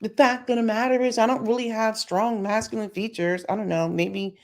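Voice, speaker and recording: A middle-aged woman giving an opinionated commentary as a YouTuber, emphatic and sassy in her delivery, with a voice she calls deep. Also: steady tone, normal speed. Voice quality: deep voice by her own account. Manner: sassy, emphatic, mocks others in put-on voices.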